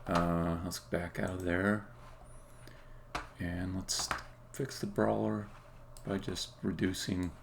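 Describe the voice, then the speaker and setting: Very gravelly low-voiced man thinking aloud. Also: level tone. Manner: halting, muttering to himself while working. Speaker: game developer live-streaming. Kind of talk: casual think-aloud live-coding commentary. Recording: faint noise, keyboard typing and clicks. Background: computer keyboard typing and mouse clicks.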